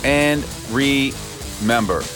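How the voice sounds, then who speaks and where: A man hosting a solo sports podcast, talking through upcoming games in an upbeat, chatty way, his voice temporarily husky. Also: mid-range voice, drawn-out words, over music. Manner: upbeat, chatty analysis with a cheerful sign-off. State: voice temporarily husky and lower than his norm. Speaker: podcast host.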